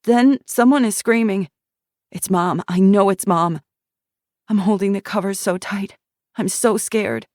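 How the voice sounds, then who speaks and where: A woman's voice: mid-range voice, a little slow, darkish tone, no room sound, studio quality, voice pushed up, lightly acted. A woman voicing a terrified young woman who relives a childhood night as a small girl, speaking in a trembling present-tense recall.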